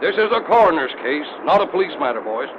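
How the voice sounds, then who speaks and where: An actor playing a brisk, skeptical police lieutenant in an old radio drama, authoritative and dismissive. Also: male voice, a little high, big pitch swings. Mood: dismissive, mocking. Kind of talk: 1930s radio drama acting. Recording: dull, noisy old radio broadcast recording.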